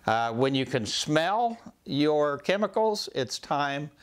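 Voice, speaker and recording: An older man hosting a woodworking channel, giving a casual, folksy overview of safety masks in his home shop, with frequent "uh" pauses. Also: a little high, big pitch swings.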